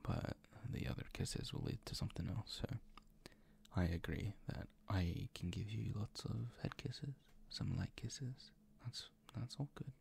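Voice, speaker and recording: A man playing a doting, gently dominant boyfriend in an intimate ASMR roleplay, speaking in a soft, coaxing, reassuring way with a breathy, gravelly whisper. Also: on the low side, lively intonation, measured pace, full character voice. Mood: tender. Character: doting, gently dominant, teasing boyfriend comforting his partner.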